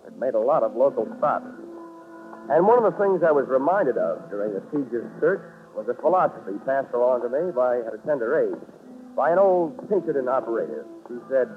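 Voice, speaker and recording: A man's voice: medium pitch, lightly acted. An American man playing a hard-boiled, wisecracking private investigator in a 1940s radio drama, with a wry, clipped delivery. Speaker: lead actor and narrator. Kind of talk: 1940s radio detective acting and first-person narration. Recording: muffled, phone-like 1940s radio recording, music behind.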